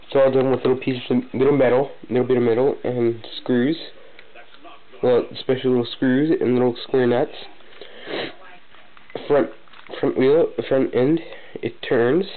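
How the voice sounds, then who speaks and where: A man's voice: fairly even intonation, unhurried, pauses often. A young man with a cold, sniffling, casually and hesitantly showing off a model he built. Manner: casual, hesitant, many fillers and restarts. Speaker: hobby builder showing a model. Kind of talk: casual show-and-tell vlog. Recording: tinny phone-like low-fi audio, faint noise.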